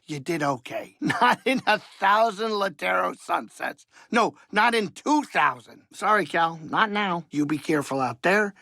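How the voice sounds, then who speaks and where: An actor playing a fussy, good-hearted middle-aged alien pilot and ship's cook in a video game, with a gravelly voice and a folksy, fretful, chatty manner. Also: male voice, high voice, expressive, a little slow, few pauses, sharp tone, no room sound, clean studio sound, heavily acted. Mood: fussing, teasing. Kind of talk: video game character acting.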